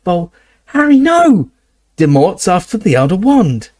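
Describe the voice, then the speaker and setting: A man doing a shrill, earnest teenage girl. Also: big pitch swings, unhurried, a little mellow. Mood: insistent. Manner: high-pitched and emphatic.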